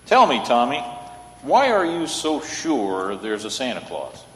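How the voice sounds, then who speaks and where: A man playing a patient, gentle defense lawyer in an old-time radio play, recorded in an echoey hall. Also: medium pitch, huge pitch swings, unhurried, a little hiss or hum. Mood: patient, kindly.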